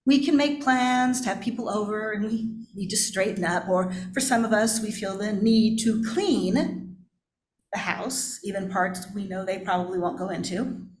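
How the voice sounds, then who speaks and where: A woman's voice: medium pitch, normal speed, a little mellow. Candid woman preaching in an echoey room. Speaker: pastor. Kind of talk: personal, confessional sermon. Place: church, indoors.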